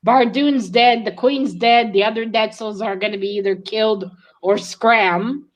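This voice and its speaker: Woman, higher-pitched voice, casual book discussion, thinking aloud, hesitant speculation. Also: unhurried, lo-fi sound. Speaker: co-host of a book read-along.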